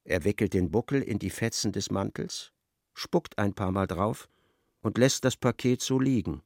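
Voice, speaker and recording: A man's voice: on the low side, moderate pace, darkish tone, dry recording, clean studio sound, close to an everyday voice. A man acting as the detached narrator of a grim literary radio drama, telling it in a matter-of-fact way.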